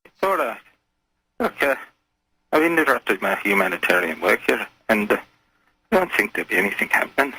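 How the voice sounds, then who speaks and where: A man phones in to a radio show with a lowish, husky voice. He talks casually, with folksy turns of phrase and a calm menace. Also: a little fast, long pauses, no room sound, rough recording. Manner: folksy, calmly menacing, addresses others as lad and lassie. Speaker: phone-in caller. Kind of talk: casual conversational radio call.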